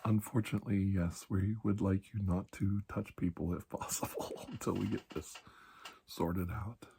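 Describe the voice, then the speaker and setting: A man speaking in a soft, breathy ASMR roleplay as a calm, kindly doctor, gentle and reassuring with unhurried pauses. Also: low voice, a little hiss or hum. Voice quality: breathy, hushed. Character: calm, kindly male doctor soothing a frightened patient. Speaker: ASMR performer playing a doctor.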